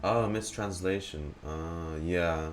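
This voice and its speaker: A man's voice: deep voice, slow pace, faint music behind. A man casually reacting to a video game as a streamer, playfully talking back to the characters.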